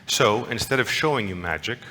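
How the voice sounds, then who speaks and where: A man's voice: on the low side, lively intonation, brisk, pushed and bright, a little hiss or hum. A man giving a playful, interactive lecture to an audience, often asking them questions. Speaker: conference lecturer. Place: large lecture hall. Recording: long hall reverb.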